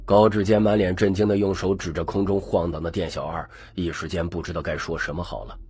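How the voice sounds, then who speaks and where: Very deep, husky male storyteller. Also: little pitch movement, fairly quick, a little mellow. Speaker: audiobook narrator. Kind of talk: scripted dramatic story narration.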